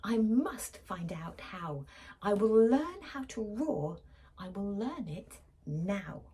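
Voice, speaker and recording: A woman voicing a timid, tiny male mouse in a storybook, speaking in hushed, wishful tones. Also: sing-song, slow pace, a little hiss or hum. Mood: determined. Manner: hushed, wishful, high and breathy.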